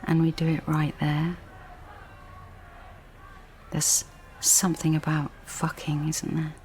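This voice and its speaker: A woman's voice: low-pitched, completely flat delivery, takes it slowly, darkish tone, slight background noise. An actress playing a bitter, intense young woman, a scorned lover pressing her point insistently in a naturalistic radio drama. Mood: intense, brooding.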